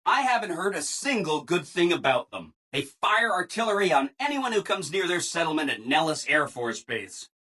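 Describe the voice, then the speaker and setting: Eager-to-please game robot, chipper, gushing, bright forceful tone, swooping pitch. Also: male voice, very animated, normal speed, few pauses, exaggerated voice. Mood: brightly matter-of-fact. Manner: chipper, exaggeratedly agreeable, gushing. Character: relentlessly cheerful, eager-to-please male robot assistant. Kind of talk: video game character dialogue.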